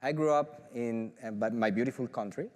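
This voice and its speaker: A man's voice: mid-range voice, moderate pace, darkish tone. Mexican-accented man in a big hall. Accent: Mexican Spanish. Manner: enthusiastic, filler-heavy with frequent restarts. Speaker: professor. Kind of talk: conference talk. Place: large auditorium.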